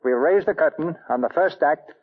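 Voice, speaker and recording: A man's voice: fairly high pitch, normal speed. A man presenting a radio theatre play in formal, dramatic 1940s announcing style, reading scripted narration. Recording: dull 1940 radio recording, music behind.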